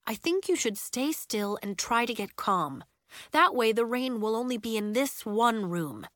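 A woman voicing a kind but firm woman schoolteacher in an audiobook, instructing briskly. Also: fairly high pitch, lively intonation, a bit bright, no echo, studio quality. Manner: instructing, encouraging.

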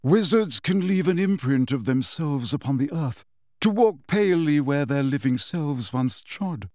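A man narrator puts on a wistful storybook ghost, gentle and evasive. The speech is slow, formal and mournful, with hesitant phrasing, recorded close and dry. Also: a little high, lively intonation, no room sound.

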